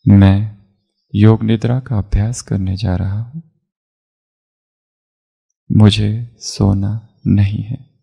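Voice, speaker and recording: Guy leading guided relaxation, very flat and slow, calm instructions w long gaps. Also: fairly low pitch, vocal fry, husky, dull audio. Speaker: yoga nidra meditation instructor.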